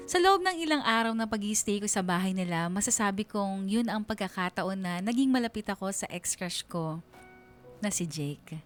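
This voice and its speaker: A woman's voice: mid-range voice, expressive, moderate pace, soft background music, close to an everyday voice. An actress playing a smitten young woman narrating her own love story for a radio drama, confiding and giddy.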